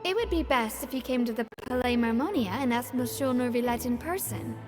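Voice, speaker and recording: An actress playing a polite young government messenger in a video game, courteous and formal. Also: female voice, on the high side, fairly quick, over loud music, natural voice.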